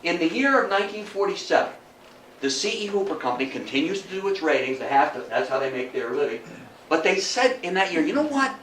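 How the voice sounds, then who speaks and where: An older man speaks as a guest lecturer in a folksy, emphatic style, doubling back on his phrases. His voice sits fairly high and is picked up from a distance in an echoey room with faint noise. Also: very animated, fast, a bit bright. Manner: folksy, emphatic, repeats himself. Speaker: guest speaker, old-time radio hobbyist. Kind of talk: informal public lecture. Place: room at a local society talk.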